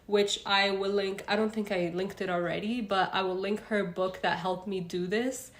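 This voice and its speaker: A woman's voice: medium pitch, fairly even intonation, normal speed. A young woman from Egypt hosting a casual self-help livestream, talking loosely with fillers and stopping to answer viewer comments. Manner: chatty, reads out viewer comments, frequent fillers. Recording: roomy echo.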